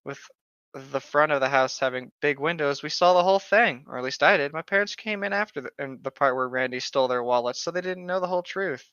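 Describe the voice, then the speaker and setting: A man's voice: fairly high pitch, brisk, pushed and bright, clean tone, dry recording, dull audio. A man reading aloud casually and chatting as a comedy co-host, with wry, rambling asides. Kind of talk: casual read-aloud with joking banter.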